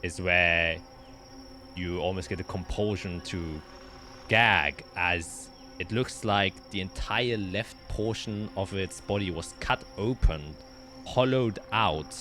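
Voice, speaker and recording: Deep voiced guy running a fantasy tabletop game, dramatic descriptions w/ pauses, music behind him. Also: pushed and bright. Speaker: game master. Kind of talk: narrating a tabletop roleplaying game.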